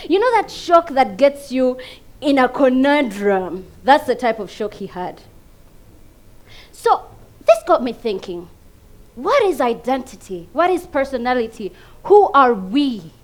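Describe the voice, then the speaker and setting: Ugandan female stage performer, very high voice, slow theatrical pacing, emphatic comic monologue with big dynamic swings. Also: very animated. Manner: emphatic, dramatic delivery with big swings between hushed and loud. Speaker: competition contestant performing a monologue.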